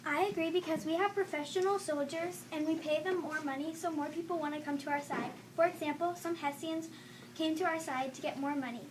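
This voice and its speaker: A woman's voice: high voice, fairly even intonation, fairly quick. A young female student making points in a classroom debate. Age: child or young student. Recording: distant mic, clearly noisy room.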